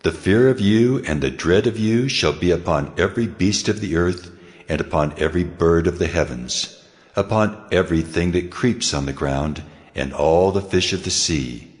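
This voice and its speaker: A man voicing a deep, reverberant, solemn God. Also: moderate pace, natural voice. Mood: solemn, commanding. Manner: solemn, authoritative. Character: God, solemn commanding male deity of authority. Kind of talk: dramatised Bible audio reading. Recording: long cavernous reverb.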